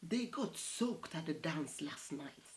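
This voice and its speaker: A woman voicing obliging animal friends in a folktale storybook, measured and offering. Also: sits low, animated, slight background noise. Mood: obliging, apologetic.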